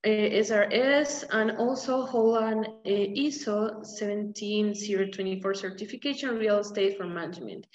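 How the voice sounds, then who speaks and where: A young woman in her twenties with an Ecuadorian Spanish accent, a native Spanish speaker, reading a speaker's bio with some hesitation on a video call. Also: medium pitch. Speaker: presenter introducing a speaker. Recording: echoey, dull call audio with faint noise.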